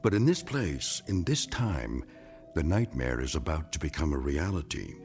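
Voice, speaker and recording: Ominous storyteller host, very low-pitched, grave and portentous with wide intonation, radio anthology. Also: male voice, very animated, darkish tone, soft background music, exaggerated voice. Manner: portentous, measured. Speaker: narrator. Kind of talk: scripted dramatic narration.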